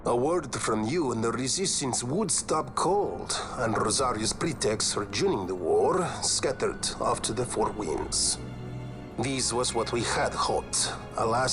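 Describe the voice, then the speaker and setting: An actor playing a suave, flamboyant nobleman in a video game, with a courtly, theatrical manner. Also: mid-range voice. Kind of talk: scripted game cutscene acting.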